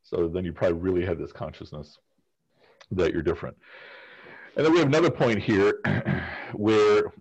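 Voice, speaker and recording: A low, slightly husky male professor leads a seminar over a video call. He talks casually and keeps prompting his students with questions. The call audio sounds a little muffled. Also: a little mellow. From United States. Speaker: professor leading a class discussion.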